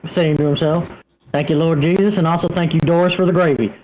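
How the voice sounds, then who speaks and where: A man's voice: on the high side, fairly even intonation, fast talker, darkish tone, a little hiss or hum, poor audio. A man playing a tabletop game, bantering casually and playfully with free swearing and whoops.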